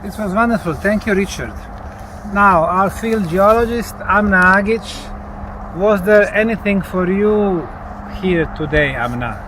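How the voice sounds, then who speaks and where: A man in his fifties presenting a semi-scripted field report outdoors, in a measured way. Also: sits high. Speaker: lead investigator, presenter. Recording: outdoor recording, clearly noisy background.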